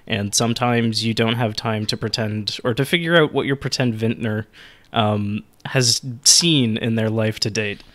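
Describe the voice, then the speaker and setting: A man's voice: mid-range voice, a bit bright. A man hosting a tabletop game podcast as game master, talking in a rambling, jokey way over an online call.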